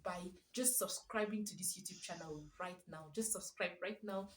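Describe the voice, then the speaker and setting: A young woman with a Nigerian English accent, chatting to camera as a parenting vlogger at home, keeping her voice soft while her baby sleeps. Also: lowish voice, moderate pace, slight background noise. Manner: warm chatty, speaks softly so the baby can sleep. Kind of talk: casual chat to camera. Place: room at home. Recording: echoey room.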